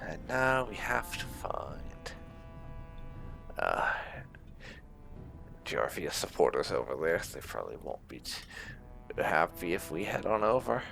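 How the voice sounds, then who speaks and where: Casting: male gaming host, very low gravelly voice, wry and musing casual commentary over game music. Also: very animated, slow, long pauses, a bit bright.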